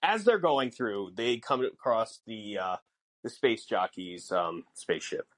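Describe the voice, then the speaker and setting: A man co-hosting a podcast, explaining things casually in halting, piecemeal phrases. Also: on the low side, expressive, a bit bright, no room sound. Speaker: podcast co-host.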